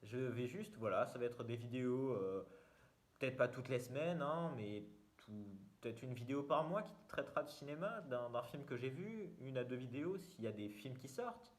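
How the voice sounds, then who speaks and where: A man's voice: medium pitch, animated, normal speed. Young man, casual vlog host, self-mocking, rambling delivery. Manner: rambling, jokey, lots of fillers and restarts. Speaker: YouTuber.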